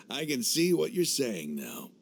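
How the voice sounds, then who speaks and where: A man voicing a hearty, jovial desert mercenary leader in a video game, unhurried and swaggering. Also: fairly high pitch, vocal fry, slight background noise, heavily acted. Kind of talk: video game dub acting.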